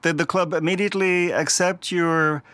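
A man's voice: a little high, steady tone, normal speed, bright, forceful tone. A man interviewing a guest for radio, asking short, polite questions.

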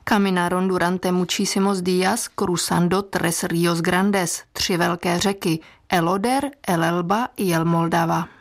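A woman co-presenting a scripted radio language lesson, telling a legend clearly. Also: lowish voice, steady tone, a little slow, hardly any pauses. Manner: clear, storytelling. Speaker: radio co-host.

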